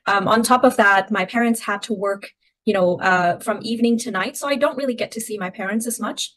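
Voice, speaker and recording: A young woman from Myanmar who grew up in Thailand, talking through her own story as a podcast guest. She is reflective and circles back on her phrases. Her voice sits at a middling pitch. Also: fairly quick, fairly bright tone. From Myanmar (Rohingya from Arakan), raised in Thailand. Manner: reflective, many restarts and "you know". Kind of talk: personal storytelling in an interview.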